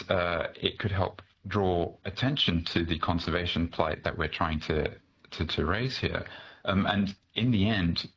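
Male botanist guest from Malaysia, deep voice, articulate and informative, dull lo-fi connection. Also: a bit bright, husky. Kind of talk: conversational explanation in an interview. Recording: dull, noisy remote guest line.

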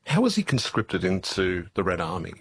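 A man's voice: low-pitched, huge pitch swings, normal speed, husky, no echo. A man hosting a conversational interview, asking curious questions and reacting with interjections. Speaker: interviewer and host.

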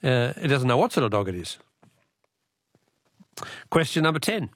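Bantering Australian radio host, animated pitch. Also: male voice, moderate pace, no echo, studio quality. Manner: jokey, bantering. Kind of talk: live phone-in quiz chat.

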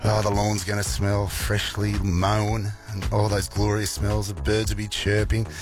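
A male Australian radio presenter with a croaky morning voice, chatting casually and playfully with listeners between weather and songs. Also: lowish voice, completely flat delivery, a little slow, music in the background. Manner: chatty, playful, rambling asides. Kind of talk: casual breakfast radio chat. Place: radio studio.

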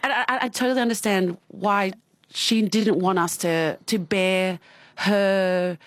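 A woman's voice: medium pitch, fairly even intonation, measured pace. A woman in her thirties with an Australian accent, speaking candidly as an interview guest. She laughs often and restarts her sentences. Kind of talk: personal interview.

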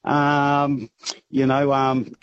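A hoarse, croaky man, a rugby club founder, speaking as a radio guest over a phone line and rambling with many fillers. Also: mid-range voice, monotone, drawn-out words.

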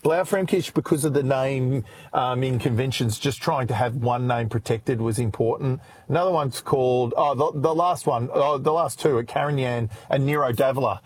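A man's voice: medium pitch, normal speed, hardly any pauses, a little mellow, breathy edge. An Australian man chatting on radio as a regular guest, talking easily with fillers and restarts. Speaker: rural radio presenter, guest contributor. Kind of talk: casual radio chat.

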